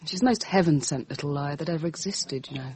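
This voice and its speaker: A world-weary society lady in a radio play, with a husky voice and an upper-class English accent. She is languid, arch and coolly cynical. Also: deep voice, quick pace, a little mellow. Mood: cool, gossipy. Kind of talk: radio drama dialogue.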